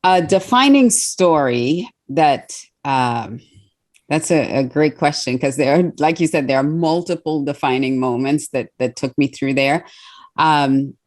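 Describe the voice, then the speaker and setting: Low-voiced woman, reflective guest. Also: a little slow, darkish tone. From born in Trinidad, raised in Australia. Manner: reflective, thoughtful with many fillers. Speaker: guest, confidence coach. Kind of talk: interview answers.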